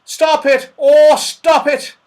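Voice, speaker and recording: A man voicing a muddled, excitable little man who yelps and calls out in a comic children's storybook style. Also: takes it slowly. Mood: yelling in alarm. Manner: shrill yelping and calling, words often misheard.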